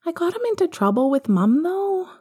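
A woman's voice: extremely high pitch, sing-song, normal speed, mellow, pitched above the performer's own voice. A woman voicing an earnest, polite young schoolboy character in a story, speaking with childlike hesitation. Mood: sheepish confession.

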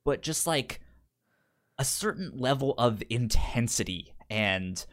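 Guy cohosting a movie podcast, casual, stutters on the starts of words, medium pitch. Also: expressive, slow pace, a bit bright. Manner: stammering, repeats first sounds of words. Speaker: podcast co-host. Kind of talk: casual film discussion.

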